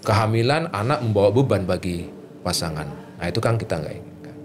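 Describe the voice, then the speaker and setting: A man with a fairly low voice explains things as a medical specialist in a conversational interview. He is informal, repeats points for emphasis and poses his own questions. Some background ambience sits behind his voice. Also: expressive, brisk, background noise. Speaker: doctor, fertility specialist guest.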